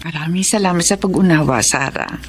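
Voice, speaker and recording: A woman's voice: lowish voice, fairly quick, lightly acted. A woman playing a caring middle-aged rural mother in a Filipino radio drama. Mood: tender, apologetic.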